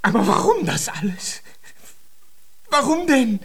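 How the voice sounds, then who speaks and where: An actor in a German radio drama playing a flustered, fretful conductor and concert organizer, speaking in a wordy, formal, theatrical way. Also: male voice, very high-pitched, big pitch swings, a little slow, a bit bright, slightly rough, full character voice. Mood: alarmed, agitated.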